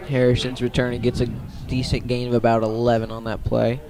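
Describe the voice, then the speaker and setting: An American man gives live radio play-by-play of a football game. His voice sits at a medium pitch with extremely little melodic movement. He delivers each play in halting pieces, correcting himself as he goes. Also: monotone, darkish tone. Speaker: play-by-play commentator.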